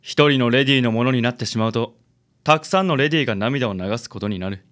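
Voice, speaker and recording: A man acts out a handsome charmer in his thirties for a radio drama. He talks quickly, with theatrical, flowery gallantry and a gentlemanly air. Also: mid-range voice, natural voice. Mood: romantic boasting. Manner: smooth-talking, theatrical, gentlemanly. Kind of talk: Japanese radio drama acting.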